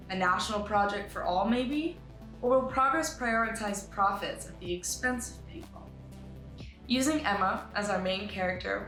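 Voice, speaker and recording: A woman presents a creative project in an earnest, articulate way, reading prepared lines with the odd informal aside. Her pitch sits at a middle height. The room adds noticeable echo, and music plays softly behind her. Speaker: animator and writer pitching a short film. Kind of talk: scripted pitch presentation to camera, with light asides.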